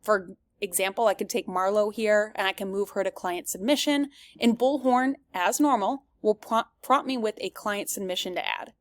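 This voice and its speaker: A woman giving a semi-scripted product demo as a presenter, explaining each step clearly and addressing viewers directly. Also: mid-range voice, normal speed, hardly any pauses, no room sound, clean studio sound.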